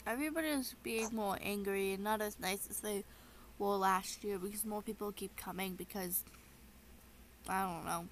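A woman's voice: mid-range voice, normal speed, slight background noise, low-quality recording. A woman host talking casually in a game roleplay, chatty and rambling with plenty of ums. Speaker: channel host voicing her own roleplay character.

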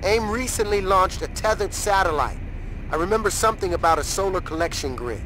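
A serious military ally with a somewhat high male voice. He delivers game briefings with clear noise behind him. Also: expressive, brisk. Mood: informative. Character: serious male military friend reporting over comms. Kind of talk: video game voice acting.

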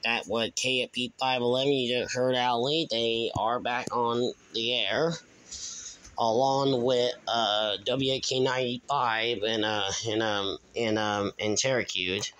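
A man giving a casual, halting, repetitive show-and-tell narration into a handheld phone in a room at home. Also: mid-range voice, fairly even intonation, a bit bright, rough recording. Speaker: video host.

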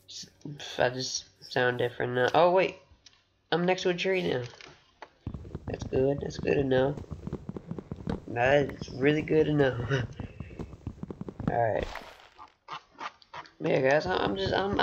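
A teenage boy with a recently deepened voice, rambling and chatty as he gives casual commentary over his own gameplay. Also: takes it slowly, long pauses, dull audio, noisy background. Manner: rambling, chatty, laughs often. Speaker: gaming channel host.

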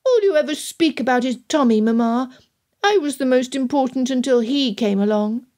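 A woman narrator acts out a brash youngest daughter in a Regency story. She is a self-centred teenager, whining and petulant, with the pitch pushed up. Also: normal speed, close to an everyday voice. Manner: whining, blurting loudly.

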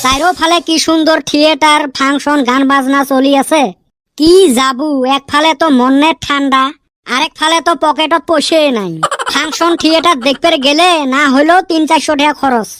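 A woman's voice: sits high, steady tone, normal speed, fairly bright tone, a little hiss or hum. A woman voicing a lazy, broke young man in a cartoon who complains constantly. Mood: complaining. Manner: whiny, complaining. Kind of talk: cartoon voice acting.

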